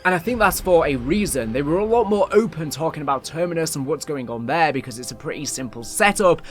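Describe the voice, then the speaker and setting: A man's voice: on the high side, animated, a little fast. A man commentating on game storylines as a video creator, explaining and speculating as he goes. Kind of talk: gaming storyline recap commentary. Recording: voice-over with music behind. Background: music bed.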